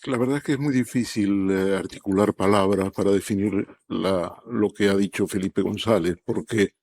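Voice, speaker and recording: A man giving commentary as a TV panelist, speaking in a measured way. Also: lowish voice, a little mellow, no echo.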